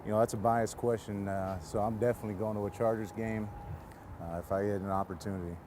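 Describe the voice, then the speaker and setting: A man's voice: fairly low pitch, fairly even intonation, quick pace, background noise. An American man from San Diego, a football coach giving casual interview answers outdoors beside a field. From San Diego, California.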